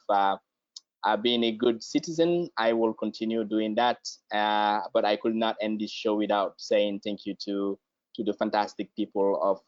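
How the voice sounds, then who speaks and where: A lower-voiced man speaks as an interview guest. He talks haltingly and often repeats words before he finds the next one. The sound is dry and a little dull, like a lo-fi remote mic. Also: no echo. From Guinea. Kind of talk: conversational interview.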